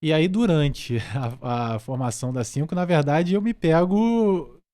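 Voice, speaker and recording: A man's voice: fairly high pitch, animated, a little slow, mellow. A Brazilian man talking casually and reflectively as a podcast guest, feeling his way through his thoughts. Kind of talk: casual conversational interview.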